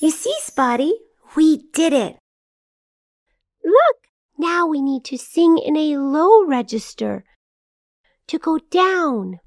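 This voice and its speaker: A woman's voice: high-pitched, big pitch swings, slow pace, pauses often, full character voice. A woman voicing a cheerful, caring little girl in a children's cartoon, encouraging and teaching as she talks. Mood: reassuring.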